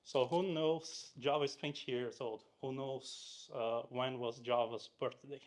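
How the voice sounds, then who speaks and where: Brazilian-born guy doing a casual tech talk, mid pitch, lots of "you know" and restarts. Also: fairly even intonation, unhurried. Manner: chatty, with frequent fillers and restarts, and asks the audience questions. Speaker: conference speaker. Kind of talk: casual technical talk to a live audience. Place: meetup room in front of an audience.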